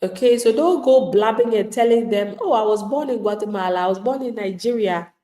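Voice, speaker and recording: A woman's voice: fairly high pitch, fairly quick, mellow, roomy sound. A woman immigration attorney giving live legal advice to viewers in an emphatic, plain-spoken way, acting out the replies of people she describes.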